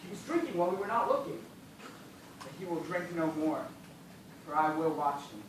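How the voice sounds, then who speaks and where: A man acting a weakened, bitter, impatient gentleman castaway dying of thirst in an amateur stage play, with formal theatrical delivery. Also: on the high side, moderate pace, lightly acted. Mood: suspicious, weary. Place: indoor theatre stage, recorded from the audience distance. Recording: very echoey noisy hall, far away.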